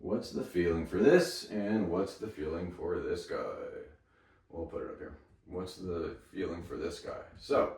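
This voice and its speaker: Slow, low man in a roomy space. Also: darkish tone. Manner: earnest, repeats phrases for emphasis. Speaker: speaking coach. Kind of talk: motivational talk. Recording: roomy, from a distance.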